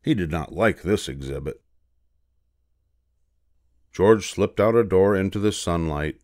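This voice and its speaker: Deep, slow older man narrating a storybook. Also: lively intonation, darkish tone, no room sound, clean studio sound. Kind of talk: scripted children's storybook narration.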